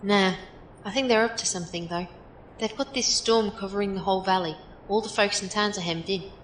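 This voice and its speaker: A woman's voice: fairly quick, lightly acted. A woman playing a breezy, blunt, tough female teammate in an audio drama, talking in a casual, folksy, direct way. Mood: casual, grumbling. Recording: echoey room with faint noise, rumble.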